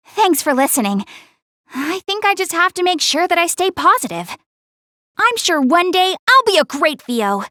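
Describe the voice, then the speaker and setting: A woman's voice: sits high, a bit bright, dry recording, studio quality, exaggerated voice. A woman voicing an earnest young goddess girl in an anime game, proud but shy, speaking to the player in a self-conscious, slightly defensive way. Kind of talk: English anime game voice acting, addressing the player.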